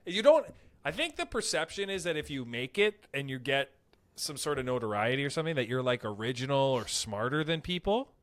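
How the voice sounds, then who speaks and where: A man's voice: mid-range voice, very animated, normal speed, fairly bright tone. A young man co-hosting a podcast, rambling through crude stories in casual banter and acting out quoted voices. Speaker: podcast co-host.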